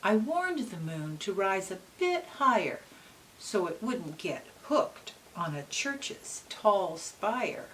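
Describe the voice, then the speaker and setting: Slow-paced woman reading to children, distant mic. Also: medium pitch, big pitch swings, fairly bright tone. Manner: simple, patient phrasing with checking questions. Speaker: read-aloud host for children. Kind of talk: gentle explanatory talk and picture-book read-aloud for young children. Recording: distant mic with faint background noise.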